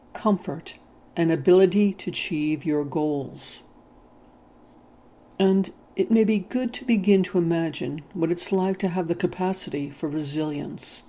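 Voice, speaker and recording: A narrator leading a hypnotic guided meditation in a soothing, measured, gently instructing manner. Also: medium pitch.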